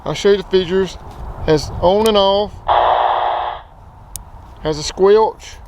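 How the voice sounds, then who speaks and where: A man's voice: high-pitched, takes it slowly, very noisy. A man giving a casual, plain-spoken product review as a channel host.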